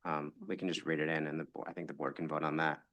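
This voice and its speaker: Deep-voiced man speaking at a public meeting. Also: flat delivery, fairly bright tone. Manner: measured, hesitant with fillers. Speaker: elections staff. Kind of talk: formal public meeting remarks.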